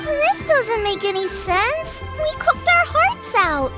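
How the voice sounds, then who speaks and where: A woman voicing a tiny, cheerful, excitable childlike girl companion in a video game, squeaky and exclamatory. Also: slow pace, heavily acted. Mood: puzzled. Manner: bubbly, exclamatory. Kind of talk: animated video game dialogue. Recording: music under the voice. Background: music.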